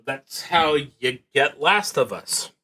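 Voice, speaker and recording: A man playing a solo tabletop roleplaying game on a stream, reading prompts aloud and thinking out loud in a halting, musing way. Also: mid-range voice, animated.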